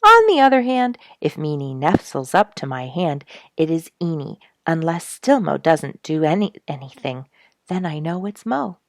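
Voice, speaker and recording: An earnest, amused young fellow from a storybook acted out by a woman reader. The delivery is deliberate and emphatic, with a little gravel in it. The recording is dry and close. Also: low voice, big pitch swings, dry recording. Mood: earnest explaining. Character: earnest, amused young man who keeps pet squirrels.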